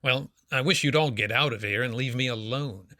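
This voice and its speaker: An actor playing a crusty, irritable, jittery older father in a radio mystery, with a gruff, blustering, suspicious manner. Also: medium pitch, brisk, sharp tone, no echo, clean studio sound, lightly acted. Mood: irritated, scornful. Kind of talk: radio mystery drama acting.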